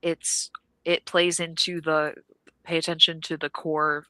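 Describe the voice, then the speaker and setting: A panel guest giving earnest conversational advice in long, measured sentences. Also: mid-range voice, little pitch movement, bright, forceful tone, dry recording, low-quality recording.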